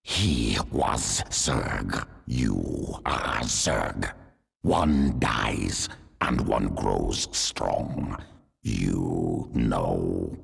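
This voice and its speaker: Rumbling, extremely slow alien beast. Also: male voice, lively intonation, exaggerated voice. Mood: stoic, blunt. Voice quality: raspy, rumbling growl. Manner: terse, ponderous fragments. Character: huge primal alien beast, stoic, ruthless survivor. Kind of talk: video game cutscene acting.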